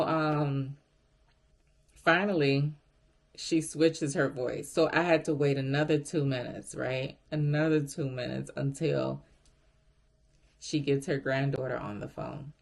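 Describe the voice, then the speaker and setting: Deep-voiced woman with a gravelly edge. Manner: chatty and animated, addresses viewers directly. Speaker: vlogger. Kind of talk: casual storytime.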